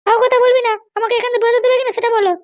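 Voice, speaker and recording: A woman's voice: extremely high pitch, steady tone, racing, highs cut off. A woman voicing an arrogant, scolding madam behind bars in an amateur comedy cartoon. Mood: threatening, bossy. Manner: bossy, scolding. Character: arrogant, threatening jailed madam demanding luxuries and release.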